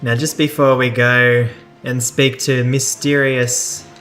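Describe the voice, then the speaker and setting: Guy doing a chill let's play, higher-side middle voice, explains stuff informally, game music behind. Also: level tone, slow pace, a bit bright. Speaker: gamer narrating a let's play. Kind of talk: casual gameplay commentary.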